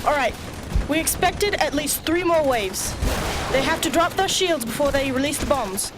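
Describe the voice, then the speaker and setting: A woman's voice: high voice, animated, normal speed, background noise. A woman playing a tough, dryly sarcastic rebel field commander in an amateur radio drama. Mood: brisk, wary. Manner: dry, sarcastic.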